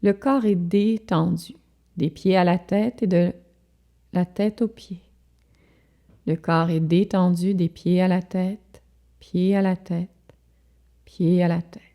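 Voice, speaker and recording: Slow, mellow woman guiding deep relaxation. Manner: calm, soothing, measured phrases with pauses. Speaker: yoga nidra instructor. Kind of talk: guided relaxation meditation.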